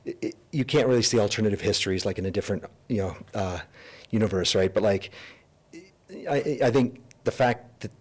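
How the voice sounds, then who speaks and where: A man's voice: fairly low pitch, fairly quick. A middle-aged American man in a casual podcast chat, rambling with lots of fillers and restarts. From United States. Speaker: podcast guest, entrepreneur.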